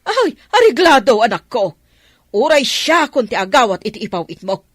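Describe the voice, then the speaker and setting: A woman's voice: fairly high pitch, very animated, normal speed, no echo, clean studio sound. A woman playing a scheming, gossipy elderly village grandmother with an Ilocano Filipino accent in a radio drama. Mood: gleeful, gossipy.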